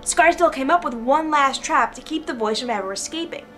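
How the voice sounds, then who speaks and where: A woman's voice: high-pitched, brisk, a bit bright, soft background music. A woman playing an earnest researcher explaining what has been found, in a drama. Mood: earnest, urgent. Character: earnest female researcher of magic and blueprints.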